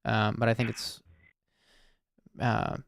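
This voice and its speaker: A man hosting an anonymous call-in circle, speaking softly and searchingly with many pauses and fillers. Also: on the low side, monotone, creaky. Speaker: host. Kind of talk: listening call-in conversation.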